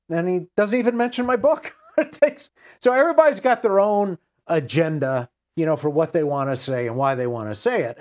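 A man's voice: a little high, darkish tone. An American man in his sixties giving conversational political and religious commentary as a guest, repeating key phrases and asking rhetorical questions. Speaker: interview guest. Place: small book-lined room indoors. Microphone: lapel mic.

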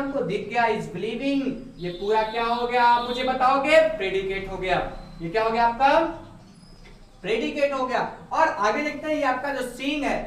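A man's voice: extremely high pitch, a bit bright, far from the mic. Young male teacher in an echoey room. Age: young adult. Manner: quizzing rhetorical questions, answering himself. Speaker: English teacher. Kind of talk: whiteboard grammar lesson. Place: room at a whiteboard. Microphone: camera at a distance.

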